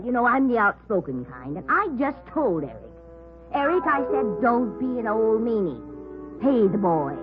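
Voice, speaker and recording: A gushing, fretful spinster in her sixties for a vintage radio crime play. Her pitch swoops widely and her voice is a little husky. The old recording is dull and muffled. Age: older. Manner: gushing, chatty, outspoken. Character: talkative, fretful maiden aunt in her early sixties. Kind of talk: 1950s radio crime drama acting.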